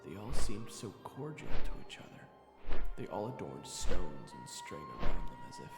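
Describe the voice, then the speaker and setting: A man's voice: lowish voice, huge pitch swings, normal speed. An actor playing a chatty young swordsman who narrates a fantasy audio drama in a conversational, dramatic way. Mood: awed. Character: roguish, chatty young swordsman retelling his adventure. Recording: echoey reverb, loud music behind.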